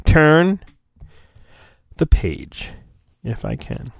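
Man, high-ish voice, lively intonation, theatrical storybook reading, sarcastic rambling asides. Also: very slow, vocal fry, no echo. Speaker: solo podcast host. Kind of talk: mock-dramatic storybook reading with comic asides.

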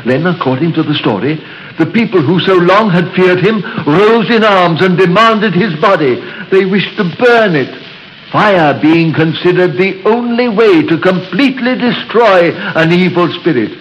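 Solemn church elder, slightly high voice; dull old radio sound. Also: male voice, animated, slight background noise. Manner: slow, solemn, measured storytelling. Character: grave local church elder telling legends. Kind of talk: 1930s radio drama acting.

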